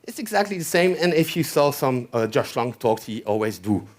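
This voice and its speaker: A man's voice: mid-range voice, very animated, moderate pace. A man with a French accent giving a chatty conference tech talk, often checking in with the audience with "okay?". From France. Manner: chatty, keeps asking "okay?". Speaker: conference speaker. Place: conference hall stage.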